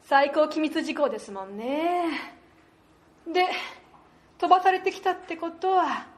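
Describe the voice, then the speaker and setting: A woman voicing a coy, poised and wry café keeper in an amateur radio drama, with drawn-out, teasing feminine speech. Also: high-pitched, animated.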